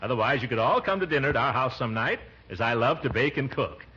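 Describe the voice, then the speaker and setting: An actor playing a pompous, jovial middle-aged uncle in a theatrical 1940s radio comedy style. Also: male voice, medium pitch, animated, fast talker, sharp tone, husky. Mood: coy, self-pleased. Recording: muffled, noisy 1940s radio recording.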